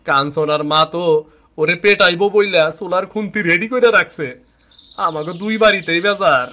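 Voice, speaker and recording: A man's voice: high-pitched, moderate pace, pushed and bright. A man playing a timid, lovesick young fishmonger in a cartoon, pleading and hesitant, with an eastern Bengali accent. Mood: dejected, puzzled. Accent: eastern Bengali (Bangladeshi). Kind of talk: dramatic cartoon voice acting.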